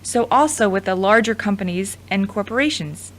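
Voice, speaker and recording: A woman playing an earnest, deferential reporter in an audio drama, speaking in a measured, formal way. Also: medium pitch, animated, natural voice.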